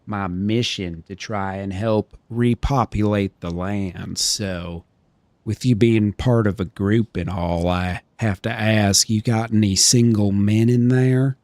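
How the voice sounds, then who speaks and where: A man playing an 82-year-old woman who has smoked since age twelve, with a deep, smoky voice and a stammering, prim politeness. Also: fairly even intonation, slow, a little mellow, dry recording. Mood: prim, earnest. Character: woman of 82, chain-smoker, eager to remarry. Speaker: streamer playing a character. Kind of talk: roleplay.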